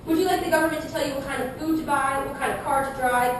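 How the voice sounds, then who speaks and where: A woman's voice: fast talker, natural voice. A woman playing a combative male conservative presidential challenger, making accusatory scripted speeches in a puppet show debate. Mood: indignant. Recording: echoey room, distant mic, rumbling noise.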